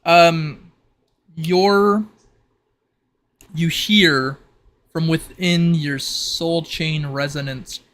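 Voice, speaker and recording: A man acting as game master, improvising narration for a tabletop roleplay in a halting, think-aloud manner. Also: a little high, fairly bright tone.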